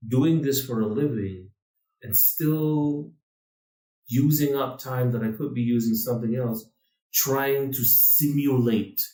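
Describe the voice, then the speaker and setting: A man's voice: medium pitch, slow pace, warm tone, echoey room, distant mic, rough recording. A man co-hosting a casual podcast, talking in a rambling way with many restarts and fillers. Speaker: podcast co-host.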